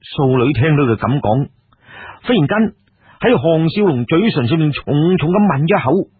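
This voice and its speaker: A man with a Cantonese accent telling a dramatic story in an expressive way. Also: a little high, normal speed, husky, dry recording. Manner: expressive, acts out dialogue. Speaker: storyteller, narrator. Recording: muffled, narrow radio-like, phone-grade audio.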